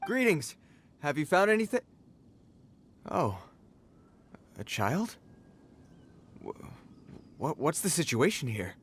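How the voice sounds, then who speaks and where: A man's voice: on the high side, very animated, takes it slowly, long pauses, a bit bright, a little hiss or hum, full character voice. A man playing a well-meaning, dutiful patrol guard in a video game, formal and awkward with children. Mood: surprised. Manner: formal, stammering when flustered.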